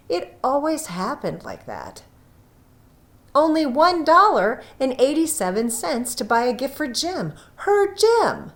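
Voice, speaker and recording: A woman narrating a classic short story from a script, in a deliberate, expressive storytelling manner with pauses. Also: fairly high pitch.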